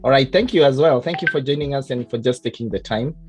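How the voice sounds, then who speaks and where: A man's voice: mid-range voice, fast, faint music behind. A man hosting an interview over a call, hesitant, with many "uh"s and restarts. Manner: hesitant, many "uh"s and repeated starts. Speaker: interview host.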